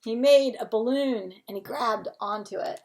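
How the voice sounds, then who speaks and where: Female storyteller, higher-pitched voice, expressive bedtime read-aloud for young children, slipping into chatty asides. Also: normal speed, background noise. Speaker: storyteller reading a children's picture book.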